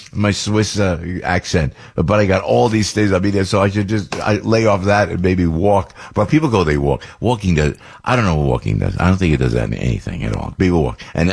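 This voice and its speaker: A man's voice: deep voice, gravelly, slightly rough. An older man hosting a radio talk show, rambling and chatty with frequent restarts. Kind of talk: casual political talk radio monologue.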